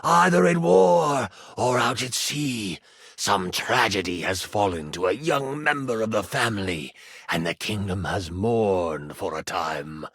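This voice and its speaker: A man voicing an evil, ancient demonic king in a video game, with a gravelly, breathy growl and a slow, imperious, theatrical delivery. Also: lowish voice, expressive, fairly bright tone, no echo, studio quality, voice pushed down, full character voice. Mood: brooding, grandiose. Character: evil old demonic king, grandiose and menacing.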